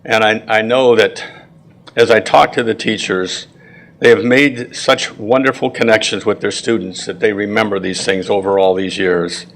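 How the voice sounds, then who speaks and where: A man giving a prepared school ceremony address with personal anecdotes, speaking in a deliberate, proud and encouraging way. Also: on the low side, fairly even intonation, fairly bright tone. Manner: frequent throat clearing.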